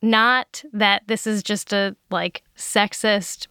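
A woman's voice: medium pitch, steady tone, takes it slowly, bright, forceful tone, clear, resonant tone, dry recording. A woman podcast host in a studio, talking candidly and thoughtfully, laughing easily. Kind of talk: casual studio chat. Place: recording studio. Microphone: studio microphone.

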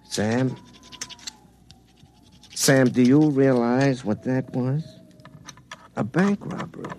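A man's voice: medium pitch, slow, darkish tone, a little hiss or hum, close to an everyday voice. An actor playing an earnest, hapless young telephone lineman, telling his own story in a folksy, self-dramatizing way in a comic radio drama. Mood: troubled, rueful. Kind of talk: first-person comic radio drama narration and dialogue. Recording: classic radio show with music underneath.

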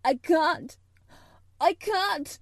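A woman's voice: very high-pitched, lively intonation, no echo, studio quality, pitched above the performer's own voice, heavily acted. A woman voicing a hysterical, frightened young boy from a storybook, crying and choking out the words in gasps. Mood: desperate sobbing. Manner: gasping, choked, halting delivery.

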